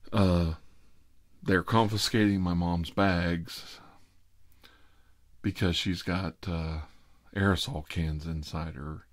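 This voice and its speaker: A man's voice: low voice, steady tone, slow pace, pauses often, no echo. A middle-aged man telling personal stories informally, in a rambling, wry and sardonic confessional way.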